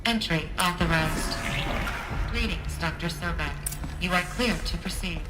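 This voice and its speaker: A polite, impersonal woman acting as a female computer in a video game. Its slightly low voice gives clipped, formal system announcements over clear ambient noise. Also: unhurried, close to an everyday voice. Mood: neutral, procedural.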